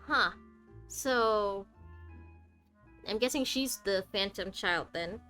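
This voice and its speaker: A woman's voice: mid-range voice, slow pace, pushed and bright. A woman game streamer giving casual live commentary, chatty and musing aloud in questions. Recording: faint game music behind.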